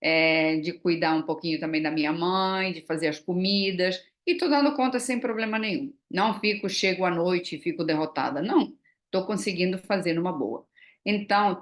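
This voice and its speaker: A woman, chatting casually in a vlog and explaining things with frequent fillers and tag questions. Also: fairly low pitch. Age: 60s. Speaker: vlogger. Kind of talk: casual chat.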